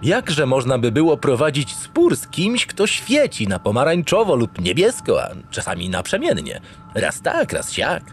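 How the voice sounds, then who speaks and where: An actor playing a pompous, naive spaceship captain, narrating a comic sci-fi radio play in a theatrical, grandiloquent, digressive way. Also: male voice, medium pitch, huge pitch swings, measured pace, faint music behind. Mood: earnest, wondering. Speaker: lead actor in an audio drama.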